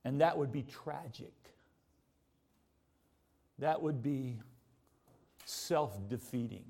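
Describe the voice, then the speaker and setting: A man's voice: mid-range voice, lively intonation, slow pace, vocal fry, mellow. An American man giving a sermon in a conversational way, asking rhetorical questions and repeating points for emphasis. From United States. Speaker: preacher.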